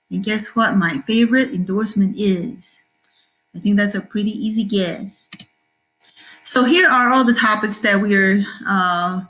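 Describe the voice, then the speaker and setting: A middle-aged woman from Taiwan, a math teacher, giving a cheerful class introduction with frequent ums and pauses. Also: mid-range voice, a bit bright, poor audio. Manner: cheerful, with many fillers and pauses.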